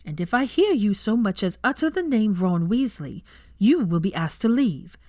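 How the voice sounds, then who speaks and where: A woman's voice: mid-range voice, sing-song, normal speed, dry recording, studio quality, pitched above the performer's own voice, natural voice. A woman voicing a furious young female professor, scolding a class sharply and indignantly in a storybook reading. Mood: scathing, stern. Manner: sharp, indignant, scathing lecturing. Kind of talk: dramatic fan fiction character reading.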